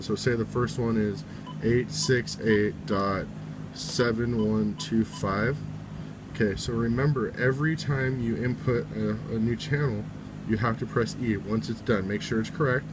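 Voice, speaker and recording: A man's voice: medium pitch, steady tone, moderate pace, background noise. A man giving a casual, step-by-step how-to in an informal way, often reiterating each step. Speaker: tutorial presenter.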